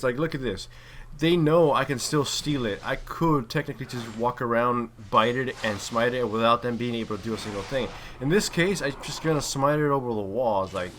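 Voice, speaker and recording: A man's voice: mid-range voice, slight background noise. A man giving casual, opinionated gaming commentary in a slangy, profane way. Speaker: gameplay commentator and player.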